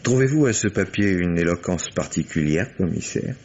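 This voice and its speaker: An actor playing a nervously polite, evasive husband under suspicion in a radio mystery, stammering and hesitant. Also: male voice, sits low, lively intonation, normal speed, darkish tone. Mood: defensive, polite. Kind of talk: old French radio mystery play acting. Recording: muffled, noisy old radio drama recording.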